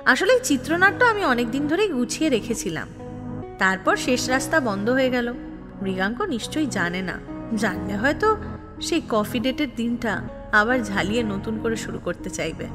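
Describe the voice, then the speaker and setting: Actress as scheming lovestruck young woman, audio drama narrator. High voice, reverberant, exasperated and confiding. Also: sing-song, moderate pace, long pauses. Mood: brooding, resolute. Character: scheming, proud, restless young woman in love, narrating her story. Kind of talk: dramatic first-person audio story narration. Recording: long reverb, music behind. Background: music.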